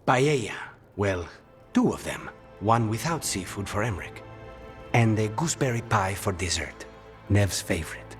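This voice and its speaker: An actor playing a reserved, sincere assassin in a fantasy game, with an understated delivery. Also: male voice, on the low side, lively intonation, a little slow, soft background music. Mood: matter-of-fact. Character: reserved, earnest male assassin with a soft heart. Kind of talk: game dialogue acting.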